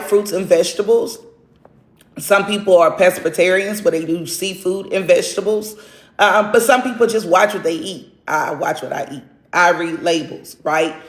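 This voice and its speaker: A woman's voice: fairly low pitch, echoey room. A woman in her forties giving an earnest, faith-driven motivational talk, tagging her points with a questioning "right?". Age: 40s. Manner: keeps checking in with a questioning "right?". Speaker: motivational livestream host. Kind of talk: casual motivational monologue.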